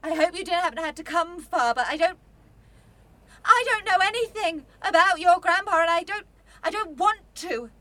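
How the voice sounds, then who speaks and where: An actress playing a guarded, blunt young student in a radio drama, speaking in a clipped, defensive way. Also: female voice, very high voice, animated, moderate pace, bright, forceful tone, dry recording, exaggerated voice. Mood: defensive, upset. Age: young adult.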